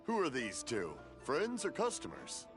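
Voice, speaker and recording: A man's voice: fairly high pitch, wildly swooping pitch, measured pace, full character voice. A man playing a genial older father who runs a fireworks workshop in a video game. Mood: welcoming, curious. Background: background music.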